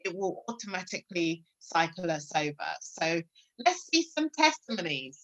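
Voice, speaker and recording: A woman's voice: fairly low pitch, big pitch swings, normal speed, a bit bright, poor audio. A woman giving an online sales presentation, hesitant and full of filler sounds, often checking in with "okay?". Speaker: webinar presenter.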